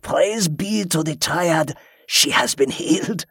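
A man voicing a wounded, mocking male pirate prisoner who speaks slowly with a chuckle and a leer. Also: a little high, expressive, gravelly, fairly bright tone, husky, dry recording, full character voice. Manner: chuckling, slow, leering.

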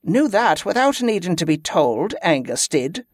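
A man voicing a stern, high-pitched female commander. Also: big pitch swings, a little slow, no echo, clean studio sound, exaggerated voice. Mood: brisk, demanding. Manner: clipped commands in inverted dialect phrasing. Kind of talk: fantasy audiobook character voice.

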